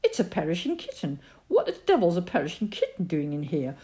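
A woman voicing a gruff, blustering middle-aged male farmer in a storybook reading. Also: mid-range voice, lively intonation, normal speed, a little mellow, pitched above the performer's own voice, close to an everyday voice.

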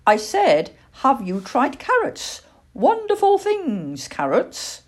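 A woman's voice: sits high, huge pitch swings, takes it slowly, voice pushed up, exaggerated voice. Woman putting on a fussy, genteel old lady. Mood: prim, chiding. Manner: genteel, prim, chiding. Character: kindly, fussy, short-sighted old lady.

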